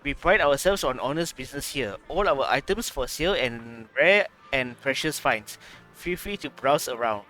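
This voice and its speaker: A man's voice: mid-range voice, animated, normal speed, sharp tone, faint music behind. A man reading out a friendly shopkeeper's lines in a welcoming, salesman-like way.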